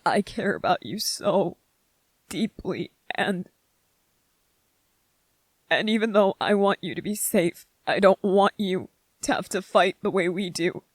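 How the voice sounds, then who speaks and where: A performer playing a gentle young male soldier in an intimate ASMR roleplay, speaking tenderly and haltingly with vulnerable, earnest emotion. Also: mid-range voice, no echo, close to an everyday voice. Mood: overwhelmed, tender.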